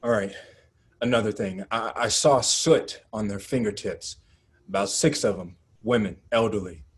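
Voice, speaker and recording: A man playing a grim, sad activist in a dramatic table read, halting and earnest in an understated way. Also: on the low side, husky. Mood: tense, planning. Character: Gus, grim, sad male activist planning an escape. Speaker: actor.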